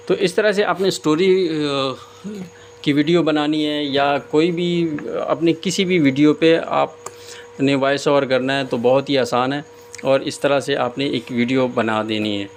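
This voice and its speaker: A man giving a casual step-by-step screen tutorial as host, often pausing hesitantly mid-sentence. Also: medium pitch. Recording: clear background noise, room ambience.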